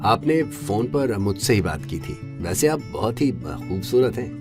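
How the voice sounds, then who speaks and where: Deep-voiced, emphatic middle-aged patriarch. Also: male voice, sing-song, measured pace. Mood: flattering, eager. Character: eager, flattering middle-aged family patriarch. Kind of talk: Hindi audio drama acting. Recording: prominent guitar music behind the voice.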